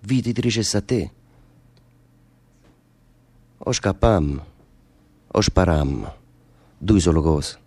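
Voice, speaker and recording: A man's voice: low-pitched, expressive, slow pace, pauses often, mellow, slightly rough, full character voice. An actor playing a brooding, fatalistic man facing death, speaking earthy, insistent dialect with a southern Italian accent in a theatre drama. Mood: musing. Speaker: stage actor in a radio theatre play.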